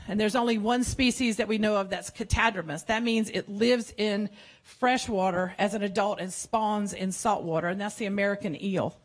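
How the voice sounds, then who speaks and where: A woman in her sixties, a marine educator, gives a casual public science talk in a playful, chatty way, often correcting herself mid-sentence, speaking into a handheld mic in a big museum hall. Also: mid-range voice. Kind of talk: informal science cafe lecture. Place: large museum cafe hall with a seated audience. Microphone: handheld microphone.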